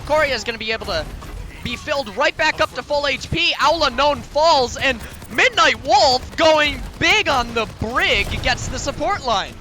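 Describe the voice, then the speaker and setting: A young man calling play-by-play for an esports broadcast, his voice sitting very high and sounding bright and forward. He rattles through the action and gets more excited as the fights build. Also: a little hiss or hum. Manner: rapid play calling that climbs in energy during fights. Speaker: play-by-play caster.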